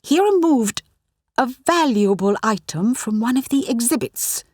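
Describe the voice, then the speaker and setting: A woman reader puts on a stern older lady academic for an audiobook. She is proper and exasperated, with polite, clipped reproach, pitched high and swinging in tone, in a dry recording. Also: expressive, measured pace, darkish tone, no room sound. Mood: disbelieving.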